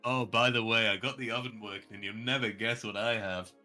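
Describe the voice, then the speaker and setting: A man with a fairly low but bright voice jokes around on a group live stream. His banter is playfully theatrical, with music playing behind him. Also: fairly even intonation, brisk. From England. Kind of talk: casual joking banter. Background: background music.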